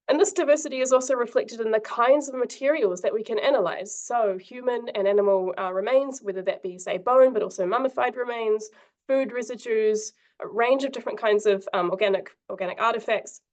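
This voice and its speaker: A woman giving an academic conference talk over a video call, husky from a cold, friendly and filling pauses with ums and uhs. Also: on the high side. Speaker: invited conference speaker.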